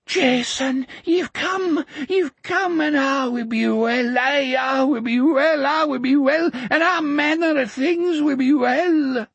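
A man's voice: slow, hardly any pauses, fairly bright tone, dry recording, heavily acted. A man voicing a frightened old male depot keeper who shrieks and trembles. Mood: awestruck weeping. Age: older. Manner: shrill, trembling, hysterical.

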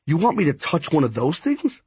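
A man's voice: a little high, wildly swooping pitch, brisk, darkish tone, slightly rough, dry recording, pitched above the performer's own voice, heavily acted. A man playing a wary, sarcastic boy in an audiobook story, with an incredulous, hesitant and wry way of talking. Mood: baffled. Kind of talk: acted audiobook dialogue.

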